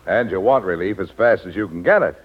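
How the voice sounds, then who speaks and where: A man's voice: deep voice, animated, fairly quick. Man, radio ad announcer, widely swooping intonation, emphatic and polished, muffled vintage recording. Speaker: commercial announcer. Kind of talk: scripted vintage radio advertisement. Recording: dull vintage radio broadcast.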